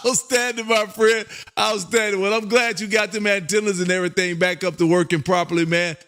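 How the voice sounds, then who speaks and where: High-pitched, loud showman ham radio host. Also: steady tone, moderate pace. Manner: loud, showy, repetitive, shouts and half-sings call signs. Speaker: amateur radio operator and live stream host. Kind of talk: excited on-air ham radio chat. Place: radio room.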